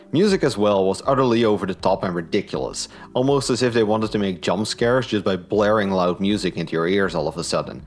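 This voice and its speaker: A man giving a scripted review in an informal, opinionated way. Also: lowish voice. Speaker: book and audio drama reviewer.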